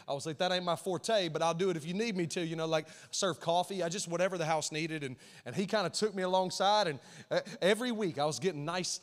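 A man in his thirties with an Alabama accent, a guest pastor opening his sermon with folksy, animated talk to the congregation. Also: on the high side. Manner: folksy, animated, repeats words, rallies the crowd. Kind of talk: sermon opening, casual and energetic. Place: large church auditorium. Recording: long reverb of a large hall.

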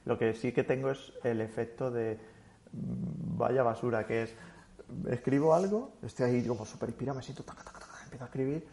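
A man of middling pitch talks through his thoughts in a loose, conversational way. He doubles back on his words and restarts often, with an unhurried, reflective delivery. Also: vocal fry, dark tone. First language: Spanish. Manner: thoughtful, rambling with many restarts. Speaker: guest. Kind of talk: casual interview chat.

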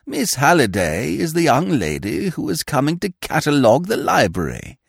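A vague, dithering old earl, as a male audiobook reader puts him on. His voice jumps high and loud, full of startled little exclamations. It is a very lively, animated delivery in a dry, close recording. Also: normal speed, vocal fry, husky, no echo, heavily acted. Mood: flustered. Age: older.

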